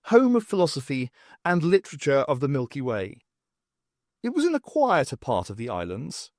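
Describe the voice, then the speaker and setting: A man narrating a scripted fiction audiobook with expressive storytelling. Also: a little high, no room sound, clean studio sound.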